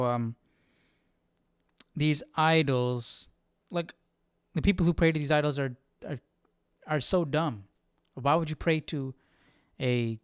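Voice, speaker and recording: A man's voice: on the high side, clear, resonant tone, no room sound, clean studio sound. A man hosting a Bible study stream, talking casually and reasoning through questions in a rambling, searching way. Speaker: Bible study livestream host. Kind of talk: casual thinking aloud, conversational.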